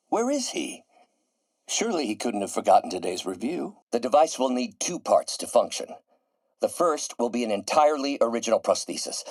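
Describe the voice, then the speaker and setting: An actor voicing a grandiose, middle-aged scientist turning villain in a video game, theatrical and erudite, with precise enunciation. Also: male voice, mid-range voice, a little mellow, no room sound. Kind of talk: video game voice acting, dramatic monologue.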